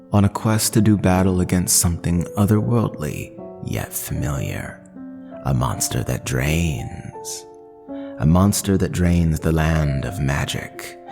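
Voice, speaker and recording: A man narrating a horror tabletop game as game master, with measured, dramatic storytelling. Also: a bass voice. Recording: long reverb, music underneath.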